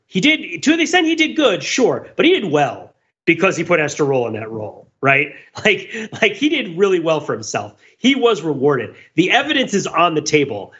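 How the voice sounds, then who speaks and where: A man talks as a podcast co-host in a casual but analytical way. His voice sits a little high and moves animatedly as he presses each point, tagging it with "right?". The sound is a touch dull and lo-fi. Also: fairly bright tone. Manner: emphatic, repeatedly checks with "right?".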